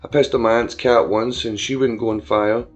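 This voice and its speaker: A man voicing an odd, calm henchman who speaks low and matter-of-fact. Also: normal speed, natural voice. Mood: flat. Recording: dull lo-fi with faint music.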